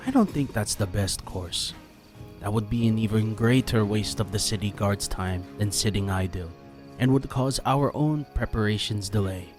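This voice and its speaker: A resolute ninja clan master, played by a man, speaks in a low, serious, measured voice. Music sits clearly behind him in this fantasy audio drama. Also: natural voice. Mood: cautious.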